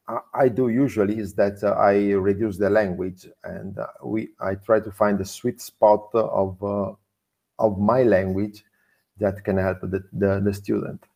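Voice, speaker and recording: Hesitant low-voiced man hosting in English. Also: a little slow, creaky, a little mellow. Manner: hesitant, many fillers and restarts, non-native phrasing. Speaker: interviewer, host. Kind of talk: interview.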